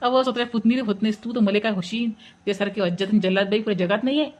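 A woman's voice: mid-range voice, very fast, darkish tone, lo-fi sound, natural voice. A woman voicing a blunt, indignant village neighbour in a comic cartoon, scolding in a Varhadi Marathi accent. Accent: Varhadi Marathi from Vidarbha.

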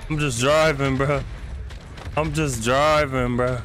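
A man gives casual live gaming commentary in a laid-back, slangy way. He talks slowly, with long easy gaps, and there is faint game noise behind him. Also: medium pitch, steady tone, fairly bright tone. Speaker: game streamer.

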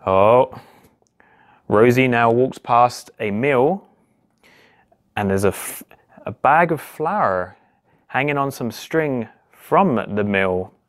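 A man's voice: mid-range voice, takes it slowly, long pauses. Man reading a children's story. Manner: expressive, simple narration with questions and exclamations for young children. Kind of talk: children's storytime read-aloud. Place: small indoor office or home room.

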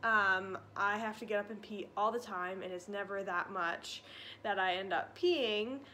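A woman's voice: mid-range voice, measured pace, sharp tone, slight background noise. A young woman in her twenties giving a casual pregnancy update with lots of fillers, a little short of breath, filmed in a living room. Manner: chatty, many fillers and likes. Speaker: vlogger. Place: living room by a stone fireplace.

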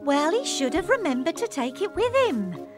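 A put-upon young maid grumbles in a very high, lively voice. It is children's cartoon acting with music behind it. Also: female voice, moderate pace. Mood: exasperated.

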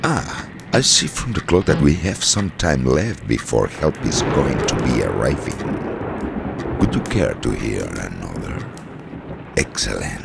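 Man as polite sinister storyteller, gravelly fry, slow, old-time radio host, loud background noise. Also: a bass voice, sing-song, full character voice. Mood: polite, sly. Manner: polite, unhurried, addressing the listener. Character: courteous, sinister male horror storyteller host.

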